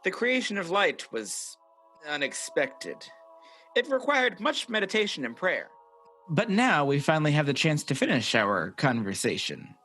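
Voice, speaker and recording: An actor playing a proud, scheming angel of light in a radio play, speaking in a smooth, grandiose, theatrical way. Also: male voice, fairly high pitch, very animated, a little slow, pushed and bright, exaggerated voice. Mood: smooth, persuasive. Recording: radio play recorded over video call, music behind.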